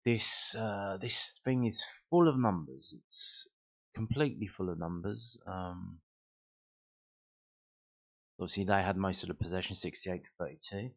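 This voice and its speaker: A man with a low voice walks through football numbers as if thinking aloud. He rambles with fillers and throws in wry remarks. The home recording sounds muffled and lo-fi. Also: unhurried, a bit bright. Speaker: fan channel host. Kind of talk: casual screen-share commentary.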